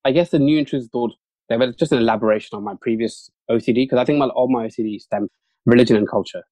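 A man's voice: medium pitch, warm tone, no room sound. A young man whose first language is Urdu, talking casually as an interview guest, with many fillers and restarts. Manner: fast, chatty, lots of fillers and restarts. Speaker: interview guest, junior doctor.

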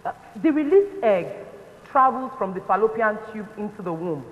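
A woman with a Nigerian accent playing a patient, matronly clinic nurse in a stage play, explaining things deliberately. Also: mid-range voice, big pitch swings, close to an everyday voice. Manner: didactic, deliberate. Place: indoor theatre stage set. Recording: reverberant stage hall, dull sound.

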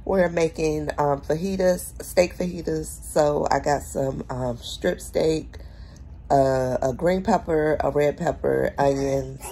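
A young adult woman, vlogging casually from home and talking a viewer through her dinner plans with relaxed fillers. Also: low-pitched, slow pace, breathy edge. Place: small home room, indoors.

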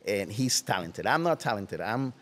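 An older man from Puerto Rico, speaking English with a Puerto Rican Spanish accent, tells stories as an interview guest in a relaxed way, often trailing off into soft asides. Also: on the low side, moderate pace, big-room sound. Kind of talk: casual interview. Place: large watersports shop, indoors. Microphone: headset.

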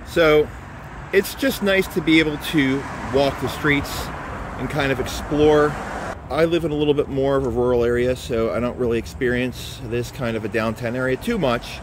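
A middle-aged man talking to a handheld camera at arm's length as he walks a city street, in a relaxed, rambling way. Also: medium pitch, normal speed. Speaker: photography vlogger. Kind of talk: casual walking vlog. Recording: outdoor street noise.

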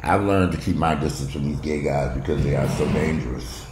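A man's voice: extremely low pitch, a little fast. A middle-aged man giving a blunt, casual, opinionated monologue that wanders off on asides and includes some swearing. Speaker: vlogger commenting on news. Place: bright sunroom at home, indoors. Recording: roomy, echoey room with faint noise.

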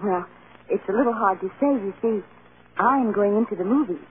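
Actress as an earnest young dreamer, tinny old radio. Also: female voice, medium pitch, brisk, lightly acted. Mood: eager, polite. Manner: earnest, polite, eager. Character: earnest starry-eyed young farm girl, aspiring actress. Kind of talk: 1930s-40s American radio acting. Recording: muffled, noisy old radio broadcast.

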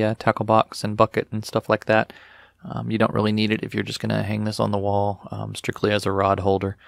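A man's voice: on the low side, creaky, no echo, studio quality. A middle-aged man narrating a woodworking build in a matter-of-fact voice-over, with frequent ums. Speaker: DIY vlogger.

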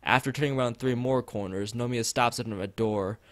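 A man's voice: mid-range voice, brisk, no echo. A man live streaming a visual novel, reading its text aloud and drifting into rambling asides to the chat. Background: background game music.

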